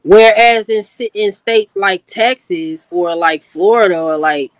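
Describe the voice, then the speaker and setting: A woman's voice: mid-range voice, fairly even intonation, a little slow, no echo. A woman hosting a casual livestream chat, laughing easily and talking in loose slang. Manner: laid-back, laughing, slangy storytelling. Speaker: host.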